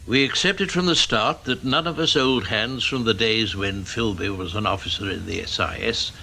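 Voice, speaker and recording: A man's voice: lowish voice, normal speed, vocal fry, bright, forceful tone, slight background noise, rough recording. A man acting in a radio drama as a critical senior intelligence chairman, formal and dryly condescending.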